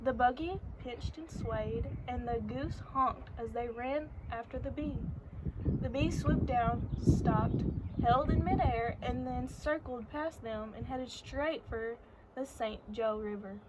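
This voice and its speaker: Young woman reading over very loud noise. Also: a little high, slow pace. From Arkansas, United States. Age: young adult. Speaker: storybook reader. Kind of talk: read-aloud of a children's picture book. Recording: very noisy, slightly dull and lo-fi.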